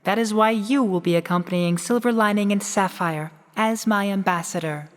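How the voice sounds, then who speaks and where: An actress playing a grave, worried female pony monarch in a radio drama, speaking in a regal, measured, solemn manner. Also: medium pitch, natural voice. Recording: reverberant hall.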